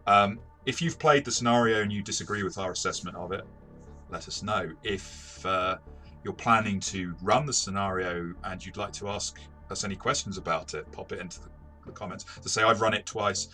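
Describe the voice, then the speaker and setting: A man with a fairly low, bright voice talks through a casual review as a game master and host. He is thoughtful and often reworks a sentence midway. Faint music plays behind him. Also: moderate pace.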